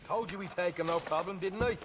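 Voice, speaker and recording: A man's voice: sits high, fast, noisy background, natural voice. A man playing a cocky, eager young male outlaw in a video game, brash and boastful in manner. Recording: loud music behind the voice.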